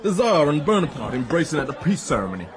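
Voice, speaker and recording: Talkative cynical officer, fairly high lively voice, radio drama, crowd murmur. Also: male voice, fast talker. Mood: excited, wry. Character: chatty, cynical Russian army officer comrade.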